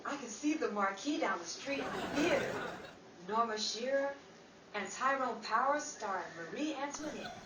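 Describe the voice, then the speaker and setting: A woman's voice: on the high side, expressive, moderate pace, natural voice. A woman playing an earnest, hesitant struggling writer in a 1930s-set comedic radio play, given to gee-whiz exclamations. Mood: reluctant. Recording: echoey, noisy, distant mic.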